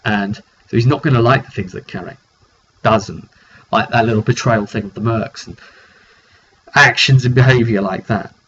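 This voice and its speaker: A man's voice: lowish voice, moderate pace, a bit bright. Man telling a fantasy tale, lo-fi recording. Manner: dramatic, with repetition for emphasis. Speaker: game master recapping a roleplay session. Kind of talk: informal storytelling of a fantasy roleplay session. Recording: dull lo-fi recording, clear background noise.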